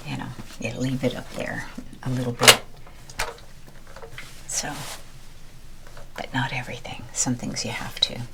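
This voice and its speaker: A woman whispering a rambling ASMR chat while ironing, her voice with a deeper timbre. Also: creaky, low-quality recording. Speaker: ASMR creator. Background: ironing noises.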